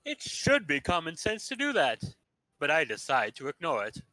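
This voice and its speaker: A man's voice: fairly high pitch, wildly swooping pitch, moderate pace, bright, forceful tone, no room sound, poor audio. A man reading first-person narration aloud in a casual staged reading, in a playful way. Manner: playful, dropping jokey asides.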